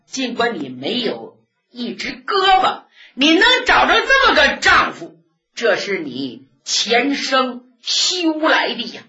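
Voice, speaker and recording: A woman's voice: on the high side, sharp tone, voice pushed up, heavily acted. A woman doing a kindly old nun. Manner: sighing, chatty, motherly. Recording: dull lo-fi recording.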